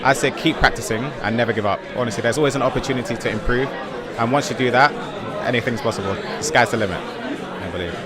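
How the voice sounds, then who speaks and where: A man's voice: medium pitch, quick pace. A nineteen-year-old man from London, an esports player giving earnest, modest interview answers. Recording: loud crowd behind. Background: loud crowd.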